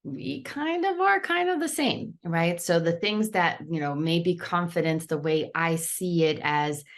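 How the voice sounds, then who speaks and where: A woman from Brazil speaks with a low-pitched voice as a coach and author giving a webinar over a video call. She talks in a chatty, loose way, full of fillers and playful asides. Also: lively intonation, a little slow. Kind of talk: webinar presentation.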